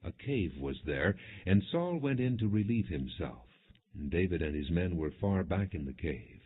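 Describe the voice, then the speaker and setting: A man narrating scripture from a script in a measured, solemn manner, with a husky voice. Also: low-pitched, sing-song. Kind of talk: scripted narration, non-dramatized Bible reading. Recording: muffled, phone-like narrow band.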